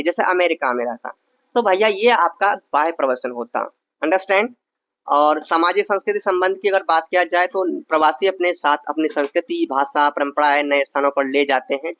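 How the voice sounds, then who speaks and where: High-voiced tutor from Bihar, chatty. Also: male voice, fairly quick, a bit bright, no room sound, highs cut off. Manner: explains in casual asides, often asks "understand?". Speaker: exam tutor. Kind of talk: exam-prep lesson, reading answers and explaining.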